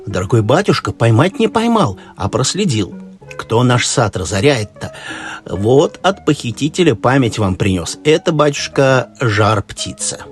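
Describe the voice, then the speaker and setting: A man's voice: mid-range voice, sing-song, measured pace. A man voicing a dutiful young prince in a fairy tale, respectful and a little plaintive. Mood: earnest, proud. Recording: music behind the voice.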